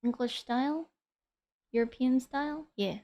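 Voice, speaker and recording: A woman's voice: sits high, measured pace. A woman streaming a video game, chatting and giggling as she reacts aloud. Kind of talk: casual live stream commentary. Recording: voice over loud game music.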